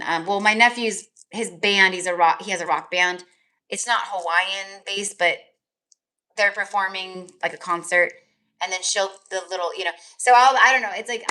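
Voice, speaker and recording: A woman's voice: mid-range voice, bright, forceful tone. A woman tarot reader chatting casually on a live stream, rambling with lots of fillers, her voice tired and crackly. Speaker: tarot reader and energy healer.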